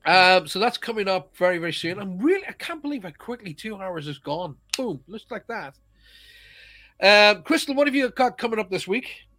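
A man's voice: high voice, expressive, moderate pace, no echo. Chatty man host, dry mic. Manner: chatty, laughs often, warm enthusiasm. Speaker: show host. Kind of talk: casual live show chat.

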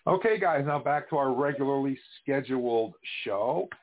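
An upbeat, enthusiastic male podcast host who guides the show with chatty energy at a middling pitch. His voice comes through narrow and tinny, like a phone line. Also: lively intonation, normal speed. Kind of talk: casual chat.

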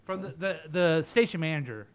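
A man's voice: fairly high pitch, brisk, over loud music. Joking, rambling man hosting radio. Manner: joking, rambling, laughs often. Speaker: radio show host. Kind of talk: casual studio banter.

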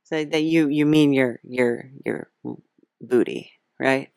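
A woman hosting a casual comedic interview, speaking in a hesitant, halting, giggly way. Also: extremely low pitch, creaky, a little mellow, no echo, clean studio sound. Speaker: podcast host, interviewer.

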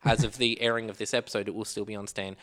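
A man's voice: on the low side, flat delivery, fast talker, fairly bright tone. A man co-hosting a podcast, chatting enthusiastically about films with many fillers and restarts. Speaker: podcast co-host. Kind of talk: casual film review chat.

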